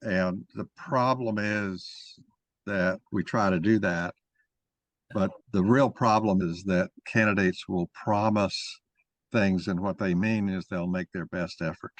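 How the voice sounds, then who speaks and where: Low-voiced man explaining, dry close sound. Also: fairly even intonation, takes it slowly, no echo. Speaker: moderator and main speaker of a mock-trial discussion. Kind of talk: informal explanatory discussion.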